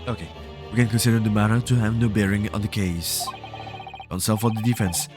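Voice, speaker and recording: A man streaming a game, reading the dialogue aloud at a deliberate pace, then chatting playfully to his viewers. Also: on the low side. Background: game music.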